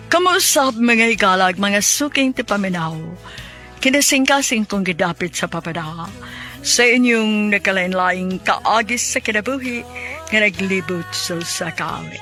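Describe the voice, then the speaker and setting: A woman's voice: mid-range voice, bright, forceful tone. A woman with a Cebuano Filipino accent hosting and narrating a radio drama program in a scripted style.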